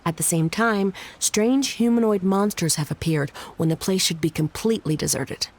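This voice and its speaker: Lowish creaky woman shrine aide in a game, acted, wry, dutiful, composed. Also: normal speed, darkish tone, a little hiss or hum, close to an everyday voice. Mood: serious, reporting. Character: adult female shrine subordinate, wry, teasing yet dutiful. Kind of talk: video game voice acting.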